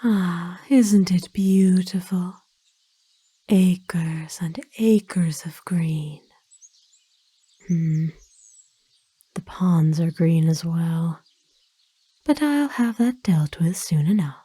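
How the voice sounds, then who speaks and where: Woman voicing a slow, whispering, gentle vampire lady. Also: lowish voice, no room sound, exaggerated voice. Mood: soft, admiring. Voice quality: soft, breathy whisper. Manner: slow, formal, gently soothing phrasing. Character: kind, ancient aristocratic lady vampire owner, gentle and wistful. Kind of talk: whispered ASMR roleplay.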